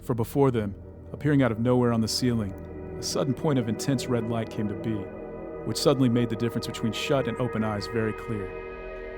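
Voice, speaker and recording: A man narrating a scripted supernatural horror tale in a measured, literary way. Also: medium pitch, lightly acted. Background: music.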